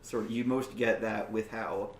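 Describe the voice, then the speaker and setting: A man's voice: fairly low pitch, fairly even intonation, normal speed. A man in his early twenties discussing a film casually as a podcast host, explaining earnestly with casual swearing. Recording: echoey room, faint background noise.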